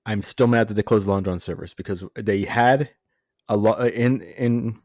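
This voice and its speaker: A man's voice: on the low side, normal speed, darkish tone, no room sound, studio quality. An American man co-hosting a podcast in casual comedic chat, ranting with mock exasperation. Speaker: podcast co-host.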